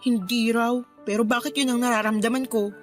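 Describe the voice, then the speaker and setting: A woman's voice: fairly high pitch, steady tone, brisk, faint music behind, close to an everyday voice. A woman playing a hurt, stubborn young girlfriend in a radio drama, with a pouty, reproachful manner. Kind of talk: Tagalog radio drama acting.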